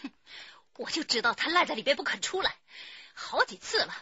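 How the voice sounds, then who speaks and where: Radio drama wronged wife: extremely high, swooping, shrill and accusatory, slightly muffled dry sound. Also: female voice, big pitch swings, brisk, pushed and bright, no echo, full character voice. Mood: bitter, scornful. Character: furious, wailing wife hunting her cheating husband. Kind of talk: dramatic radio play acting.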